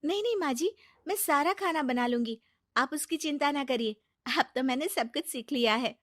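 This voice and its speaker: Woman as a high-voiced, meek young bride. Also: moderate pace, no room sound, studio quality, lightly acted. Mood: meek, earnest. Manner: meek, earnest, respectful. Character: young, polite, eager new daughter-in-law.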